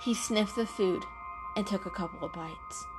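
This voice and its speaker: A woman's voice: medium pitch, moderate pace. Woman narrating a story over faint music. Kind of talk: scripted children's story narration, dramatic reading.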